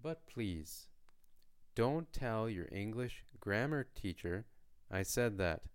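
A man from Canada hosting a beginner language podcast, speaking in a slow, lightly joking teaching voice. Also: on the low side, lively intonation, smooth. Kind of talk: slow, clear lesson narration for learners.

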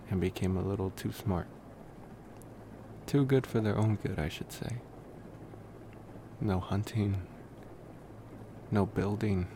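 Slow, gravelly young male vampire played by a man. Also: on the low side. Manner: musing, rambling, with pauses and sighs. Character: young male college vampire, reflective, gentle, rambling. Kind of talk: ASMR roleplay, intimate monologue to the listener. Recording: noticeable steady background noise.

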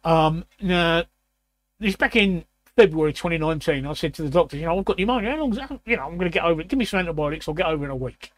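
A man in his sixties with a croaky, raspy voice, hosting a casual chat and often clearing his throat. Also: fairly high pitch, expressive, racing. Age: 60s. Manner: repeats words, frequent throat clearing. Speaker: host.

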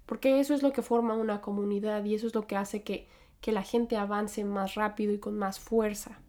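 A woman's voice: mid-range voice, fairly even intonation, fairly quick, a little mellow. A young Mexican woman with a Mexican Spanish accent, talking candidly to camera in a reflective personal monologue, with frequent fillers and restarts. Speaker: vlogger.

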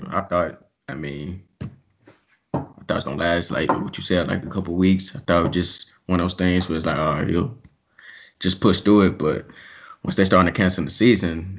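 Very low-voiced male host, slangy and easygoing, dull lo-fi sound. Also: slightly rough. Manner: laid-back, slangy. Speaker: podcast host. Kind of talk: casual podcast chat.

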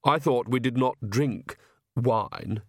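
A man's voice: mid-range voice, takes it slowly, no room sound, studio quality. Man doing a sneering young vampire. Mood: doubtful.

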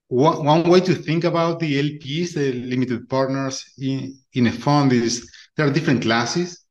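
A man in his forties from Argentina, speaking as a guest in a podcast interview. He explains in a measured way, often stopping to restart a phrase. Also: medium pitch. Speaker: guest, venture capital fund partner.

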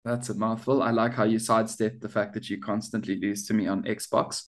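A man co-hosting a relaxed sports podcast. He talks in an easy, bantering way and often laughs at his own jokes. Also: fairly low pitch, a little fast. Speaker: podcast co-host. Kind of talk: casual sports chat.

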